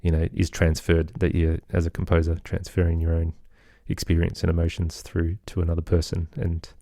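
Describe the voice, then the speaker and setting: An Australian man speaking as an interview guest, thoughtful and halting as he searches for his words in reflective conversation. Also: low-pitched, level tone, creaky, warm tone, no room sound, studio quality. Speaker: guest, composer and children's podcaster.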